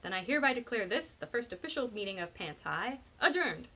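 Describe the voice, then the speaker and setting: A woman's voice: mid-range voice, sing-song, fairly quick, bright, forceful tone, exaggerated voice. Woman voicing an eager, rambling teenage girl organizer. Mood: eager, organizing. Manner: rambling, enthusiastic.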